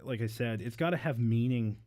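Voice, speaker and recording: A man's voice: mid-range voice, fairly quick, warm tone. A man speaking as a novelist guest in a casual interview, explaining things earnestly. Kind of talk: casual book interview chat.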